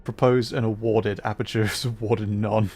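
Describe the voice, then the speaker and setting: A man's voice: lowish voice, little pitch movement, normal speed, darkish tone, breathy edge. A man giving casual live game commentary and chatting to viewers as a streamer. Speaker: livestreamer playing a video game.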